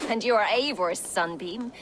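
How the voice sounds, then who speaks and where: A woman's voice: mid-range voice, moderate pace, fairly bright tone, a little hiss or hum, natural voice. A woman playing a bold, exuberant female Viking warrior in a video game, with a flirtatious manner. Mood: playful. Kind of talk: dramatic video game acting.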